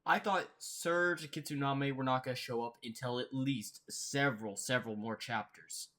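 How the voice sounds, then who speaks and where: Casual male reaction host, mid-pitched voice. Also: moderate pace, fairly bright tone. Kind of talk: casual commentary.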